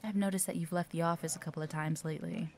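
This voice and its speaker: A woman's voice: sits low, steady tone, fast talker, a little hiss or hum. A woman playing a friendly, chatty, curious office worker in an audio roleplay. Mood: caring, curious. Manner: chatty, supportive.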